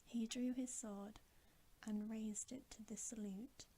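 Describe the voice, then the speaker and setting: A woman reads a bedtime story in a soft, breathy ASMR whisper. Her tone is mellow and stays very even. She takes her time, gently and soothingly, at a slow pace. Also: a little high.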